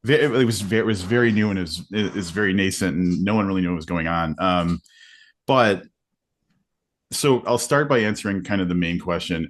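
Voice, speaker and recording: Low-voiced man on a podcast panel. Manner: conversational, loose with restarts. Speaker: podcast host. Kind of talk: casual panel discussion.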